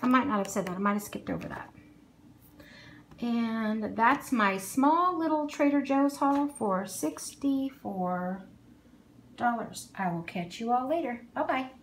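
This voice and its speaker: A casual, chatty woman with a slightly raised voice talks through a grocery haul, thinking aloud and filling pauses with ums. She records on a phone in her home kitchen. The recording carries a steady layer of background noise. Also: fairly high pitch. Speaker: vlogger.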